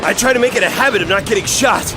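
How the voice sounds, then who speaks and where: An actor in an amateur war audio drama playing a wry, grumbling corporal. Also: male voice, high-pitched, racing, noisy background, close to an everyday voice. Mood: wry, weary. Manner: wry complaining.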